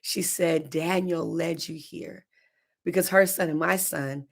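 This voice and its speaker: A middle-aged woman with a slightly low, hoarse voice hosts a morning motivational show. She talks to her listeners in an earnest, storytelling way, and her voice sometimes turns emotional. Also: steady tone, unhurried. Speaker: minister and show host. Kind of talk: morning motivational livestream talk.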